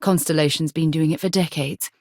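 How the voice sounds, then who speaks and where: Composed, low-voiced space explorer. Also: female voice, steady tone, a little mellow, no room sound, clean studio sound. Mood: composed, reserved. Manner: articulate, measured, formal phrasing. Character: composed, principled, adult female space explorer and crewmate. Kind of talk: video game character dialogue.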